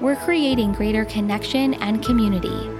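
A woman reading a scripted podcast outro in a bright, promotional way. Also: medium pitch, moderate pace. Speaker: podcast announcer. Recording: music bed behind voice.

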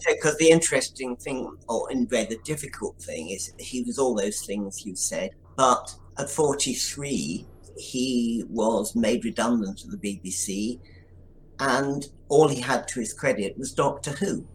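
A man's voice: mid-range voice, expressive, a little slow, a little hiss or hum, rough recording. A man who produced an audio drama, answering interview questions with reflective, wry anecdotes.

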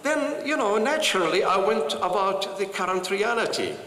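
An older man from Palestine telling personal stories to an audience with earnest, animated delivery and frequent pauses. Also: high-pitched, a little hiss or hum. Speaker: speaker. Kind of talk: personal storytelling talk. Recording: echoey hall with light ambience.

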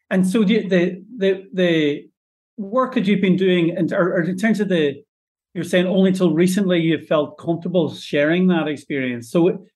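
A man hosts an interview and asks long, winding questions, often stopping to rephrase. His voice sits a touch higher than most men's. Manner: rambling, self-correcting questions. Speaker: interview host. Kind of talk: hosting a panel interview.

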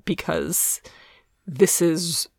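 A woman's voice: on the low side, steady tone, very slow, vocal fry, breathy edge, no echo, studio quality. A woman co-hosting a podcast, casually recapping a comic book in a wry, playful, loosely improvised way. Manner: wry, playful, often laughing. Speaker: podcast co-host.

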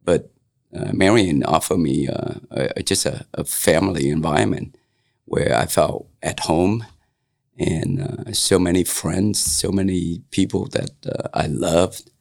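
A man in his sixties from Vietnam, speaking English with a Vietnamese accent in a casual interview, halting and full of fillers and restarts. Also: low-pitched, vocal fry, darkish tone. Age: 60s. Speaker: interviewee, local business owner. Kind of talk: casual oral-history interview.

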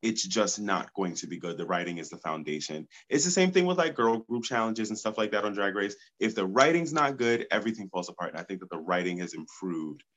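A man's voice: lowish voice, big pitch swings, a little fast, few pauses, a bit bright. A congested man, a podcast guest, talking enthusiastically and outspokenly. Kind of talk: casual podcast chat.

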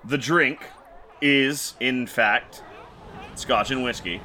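A man running a tabletop role-playing game, narrating quickly and playfully and voicing side characters. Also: mid-range voice, noisy background. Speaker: game master.

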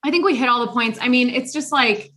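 A young woman co-hosting a podcast, chatting casually in an animated, sarcastic way and often laughing. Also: a little high, fast talker. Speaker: podcast co-host. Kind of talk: casual chat.

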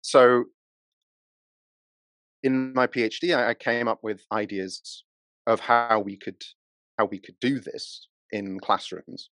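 A man's voice: medium pitch, steady tone, slow pace, long pauses, fairly bright tone, no echo. A man, a university researcher, explaining his work in an academic, explanatory way during a conversational interview. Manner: explanatory, academic, with frequent restarts. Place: Japan.

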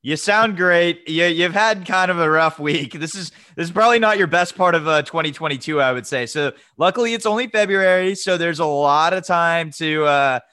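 Bright, forceful male co-host, rambling and hesitant, very dry close sound. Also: on the high side, normal speed, hardly any pauses, no room sound. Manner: rambling, hesitant, full of uh and repeats. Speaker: podcast co-host. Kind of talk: casual chat.

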